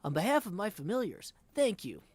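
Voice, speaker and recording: A man's voice: high voice, big pitch swings, a little mellow, slightly rough, no echo, voice pushed up, full character voice. A man voicing a composed young goddess from a video game, formal and gracious in manner. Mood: composed, dutiful. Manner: formal, measured.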